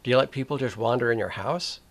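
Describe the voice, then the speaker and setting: An older man hosting a commentary video, talking straight to the camera in a slow, reflective way, with pauses, restarts and a wry edge. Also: medium pitch. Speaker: video essay host.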